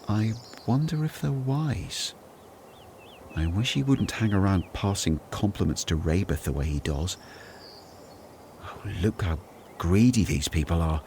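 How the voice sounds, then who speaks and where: An actor playing a weary, anxious, grumbling former tax collector turned disciple in a radio play, brooding and earnest. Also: male voice, on the low side, a little mellow, slight background noise. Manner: brooding, earnest, self-doubting.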